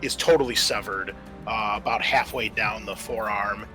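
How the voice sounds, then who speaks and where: Guy game mastering a horror rpg, bright voice, music playing under him, dry little asides. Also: fairly low pitch, fairly even intonation, normal speed. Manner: improvised storytelling mixed with rules talk and dry asides. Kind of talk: narrating a horror tabletop roleplaying game. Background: background music.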